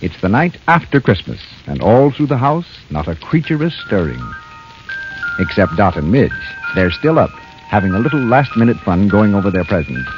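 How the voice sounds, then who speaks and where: A man's voice: on the low side, sing-song, moderate pace, soft background music. A man reading scripted announcements as a 1930s radio announcer. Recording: muffled 1930s radio recording.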